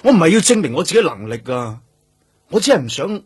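An actor with a Hong Kong Cantonese accent playing a stubborn, weary young police detective in a radio drama, defiant and insistent. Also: male voice, on the high side, very animated, fast, darkish tone, husky, no echo, soft background music, heavily acted. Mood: defiant, heated. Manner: defiant, insistent, repeating himself for emphasis.

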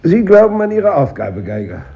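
Pompous schoolmaster, swooping pitch, echoey. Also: male voice, wildly swooping pitch, creaky, exaggerated voice. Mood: solemn, admonishing. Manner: rhetorical, measured lecturing with dramatic pauses. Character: pompous, ironic male grammar-school teacher lecturing his class. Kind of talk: 1950s German radio drama acting. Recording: muffled old radio recording, echoey room.

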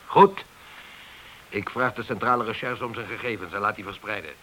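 An actor playing a demanding police inspector in a radio play, insistently interrogating. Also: male voice, on the low side, normal speed, bright, forceful tone, a little hiss or hum, low-quality recording.

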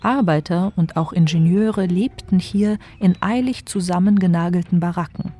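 Give the radio play an actress as a knowing female narrator. She has a dark, relaxed tone set slightly low and tells the story with measured, subtle irony. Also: slight background noise, close to an everyday voice. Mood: wry, composed.

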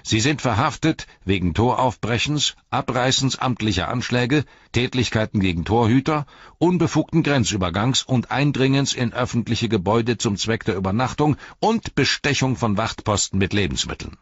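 A man's voice: medium pitch, lively intonation, moderate pace, husky, dry recording, dull audio, pitched above the performer's own voice. A man voicing a pompous, officious male hobbit police captain who lectures in stiff, bureaucratic phrases.

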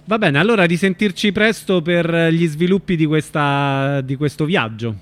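A man hosting a radio interview in an informal, somewhat halting way. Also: a little high.

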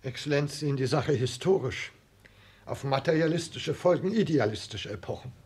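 An actor playing an earnest, fervent intellectual in a German radio drama, husky and formally declamatory. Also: male voice, mid-range voice, lively intonation, normal speed, a little mellow. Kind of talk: 1960s German radio play acting.

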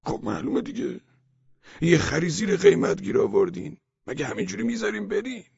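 A crafty old village peasant with a raspy, gravelly voice, pitched high and swooping wildly up and down as he haggles. The sound is dry and a little dull, like a lo-fi recording. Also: wildly swooping pitch, darkish tone, no room sound, heavily acted. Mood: sly. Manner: haggling, wheedling, insistent. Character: stubborn, cunning old male village peasant.

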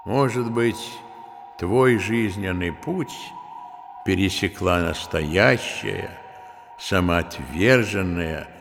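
An actor playing a solemn, musing old man in an audio drama, deep and gravelly, with weighty delivery and a reverberant memory effect. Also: a bit bright, soft background music, exaggerated voice. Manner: slow, weighty, remembered words. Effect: long reverb, as a remembered voice. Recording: echoing hall reverb.

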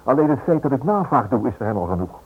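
A man's voice: mid-range voice, big pitch swings, fast, slight background noise, close to an everyday voice. Man acting stubborn husband in a muffled radio play. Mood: stubborn, dismissive. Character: stubborn, protective husband and father, a writer.

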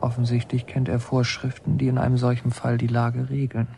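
An actor playing a reflective son who narrates a war story in a radio drama, measured and literary. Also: male voice, medium pitch, monotone, gravelly, dark tone, husky. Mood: observant.

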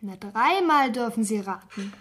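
A woman's voice: fairly high pitch, lively intonation, a little slow, a bit bright, slight background noise. A female performer playing a sullen twelve-year-old schoolgirl in a German radio crime drama, blunt, pert and evasive. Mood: defiant, cheeky.

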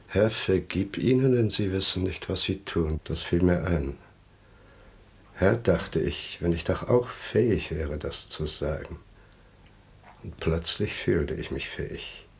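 A bitter survivor in a radio drama. His voice sits very low and husky, and he speaks deliberately, with grave, brooding weight and a probing edge. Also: exaggerated voice. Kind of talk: German radio play acting.

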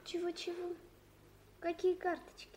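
An actress playing a naive, excitable small child in a radio play, full of puzzled questions and exclamations. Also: female voice, extremely high pitch, measured pace. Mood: puzzled, questioning. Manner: questioning, exclaiming, repeating what is heard. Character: excitable, naive little child playmate, easily confused. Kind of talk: 1970s Soviet radio play acting.

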